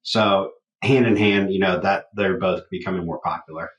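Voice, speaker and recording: A loud-voiced man co-hosting a podcast in casual chat. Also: deep voice, fairly even intonation, moderate pace, roomy sound, low-quality recording. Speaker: podcast co-host.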